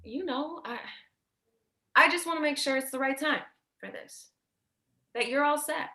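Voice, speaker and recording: Actress as bright-voiced weary older sister. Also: female voice, sits high, unhurried, echoey room. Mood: hesitant, worried. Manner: hesitant, guarded, blunt swearing. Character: tired, protective, foul-mouthed older sister. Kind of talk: naturalistic staged play reading.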